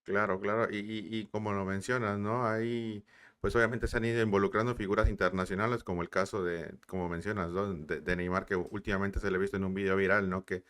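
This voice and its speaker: A man's voice: on the low side, steady tone, brisk, fairly bright tone, clean tone. A man hosting an interview, asking questions in a hesitant way full of fillers. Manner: hesitant, many fillers and restarts. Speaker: interviewer host.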